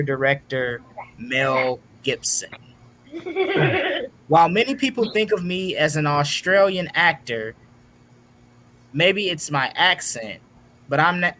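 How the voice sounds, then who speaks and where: A man hosting a casual online trivia game show, announcing questions and results in a deliberate, drawn-out way. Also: fairly high pitch, sharp tone, slight background noise, low-quality recording.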